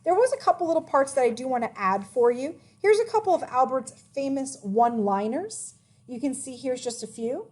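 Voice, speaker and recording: Woman reading aloud with lively, swooping pitch. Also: brisk. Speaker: read-aloud narrator. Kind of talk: reading a children's biography aloud.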